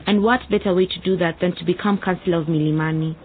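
An actress playing an earnest, determined teenage daughter in a radio drama. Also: female voice, fairly low pitch, steady tone, fast, phone-quality sound, slight background noise, lightly acted. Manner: earnest, speech-like persuasion. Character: earnest, determined nineteen-year-old daughter with political ambitions.

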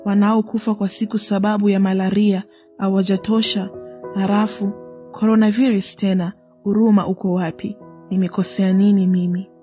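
A woman reciting her own poem slowly, in a mournful tone. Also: medium pitch, flat delivery, warm tone, faint music behind. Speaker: poet. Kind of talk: poetry recitation.

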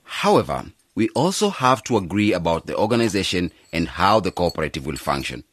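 An actor playing an earnest man who leads a village cooperative in a radio drama, deliberate and consultative. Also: low voice, big pitch swings, fairly bright tone, breathy edge, full character voice. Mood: formal, deliberate. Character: earnest male agronomist leading a village cooperative.

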